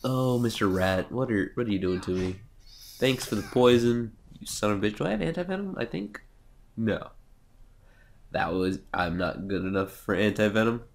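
A young man with a cold talks through a video game in a low, nasal, sniffly voice. He is sarcastic and mocks himself, swearing now and then. A faint hiss sits under the recording. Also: moderate pace, vocal fry. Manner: sarcastic, self-mocking, swears. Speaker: let's play host. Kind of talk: casual gameplay commentary.

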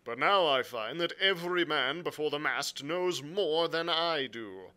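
A man's voice: on the high side, expressive, measured pace, bright, forceful tone, voice pushed up. A man voicing a stern, stubborn ship's captain in an adventure story, clipped and plain-spoken. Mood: blunt, disapproving.

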